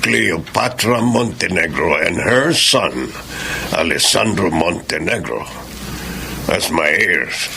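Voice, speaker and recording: Give the part of an ailing old tycoon in a radio drama. His voice is very low and gravelly, with animated swings of pitch. He is commanding and deliberate, and slips into English. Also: heavily acted. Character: ailing old male tycoon searching for a lost child. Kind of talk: Filipino radio drama acting. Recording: faint background noise.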